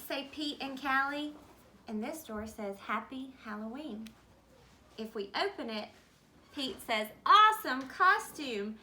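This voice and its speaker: A woman teacher reading a picture book aloud to children, gently pointing out the pictures and explaining. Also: a little high, slight background noise.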